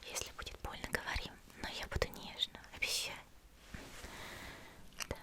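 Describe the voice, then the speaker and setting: A woman whispering breathily in a gentle, soothing ASMR roleplay as a caring young healer who tends to the listener. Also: moderate pace. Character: gentle caring young female demon-slayer healer tending a patient.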